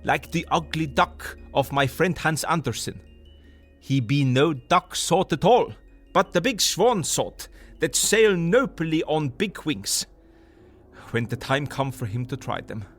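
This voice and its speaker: An actor playing an older, earnest professor in an audio drama, lecturing emphatically in quaint broken English. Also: male voice, fairly high pitch, lively intonation, a little slow, fairly bright tone. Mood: fervent, excited. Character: older male foreign professor, earnest, fervent, philosophical lecturer. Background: music.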